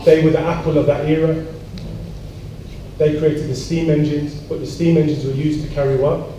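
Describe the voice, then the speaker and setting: A man's voice: on the high side, flat delivery, moderate pace, mellow. A man talking informally in a punchy, wry, challenging way, full of rhetorical questions. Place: large echoey room at a public event. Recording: very echoey hall, distant mic, clear noise.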